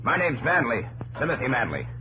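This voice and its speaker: A man's voice: medium pitch, very animated, brisk, over loud music, natural voice, low-quality recording. An actor playing a hostile, blunt working-class New England craftsman with a threatening manner in a 1950s radio drama. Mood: menacing.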